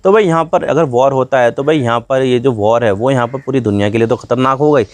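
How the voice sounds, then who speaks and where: A man's voice: medium pitch, quick pace, no room sound. Man explaining in a dry recording. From India. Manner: repetitive filler phrases, colloquial address to viewers. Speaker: news explainer host. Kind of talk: conversational explainer commentary.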